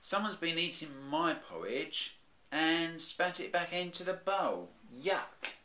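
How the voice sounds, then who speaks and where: A house-proud mother bear fusses and sniffs her way through this comic storybook while a man voices her. Her voice rides well above his own, bright and prim. It rings in a roomy space. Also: on the high side, big pitch swings, takes it slowly, voice pushed up, full character voice.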